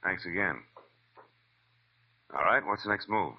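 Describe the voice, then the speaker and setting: An actor playing a wry, world-weary hard-boiled private detective in a 1940s radio drama, laconic and sardonic. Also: male voice, deep voice, unhurried, breathy edge, full character voice. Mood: dry, polite. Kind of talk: 1940s radio detective acting and first-person narration. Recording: dull vintage radio recording with music.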